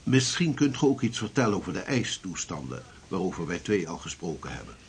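An actor playing an assertive, learned geographer in a period radio drama, lecturing emphatically. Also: male voice, deep voice, sing-song, a little fast, vocal fry, breathy edge, full character voice. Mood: persuasive. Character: assertive learned geographer urging a sea expedition.

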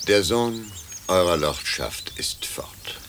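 An actor playing a discreet, dutiful butler who reports formally and deferentially in a radio play. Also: male voice, sits low, lively intonation, slow pace, fairly bright tone, slight background noise, exaggerated voice. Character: discreet, dutiful male butler serving an old lord. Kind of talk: German radio play acting.

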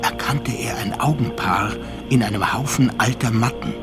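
Male actor as sober storyteller over loud music. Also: fairly low pitch, normal speed, natural voice. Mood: solemn. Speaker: narrator. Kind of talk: scripted literary radio play narration. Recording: loud music behind narration.

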